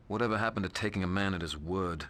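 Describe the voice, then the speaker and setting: A man's voice: low voice, fast talker, fairly bright tone. An actor playing a sardonic, cocky male vampire with a British accent, speaking in a sneering, slangy, mocking way. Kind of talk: video game voice acting.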